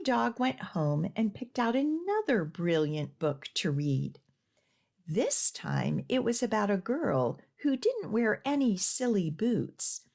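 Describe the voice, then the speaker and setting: A woman children's librarian reading stories aloud and giving simple instructions in an expressive, deliberate way. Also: medium pitch, creaky. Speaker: children's librarian hosting storytime. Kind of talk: read-aloud storytelling for young children.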